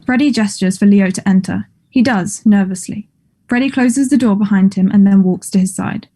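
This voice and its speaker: A woman narrates a play script at a table read. Her voice is mellow and mid-pitched, setting out scene descriptions and stage directions as plain scripted narration. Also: normal speed.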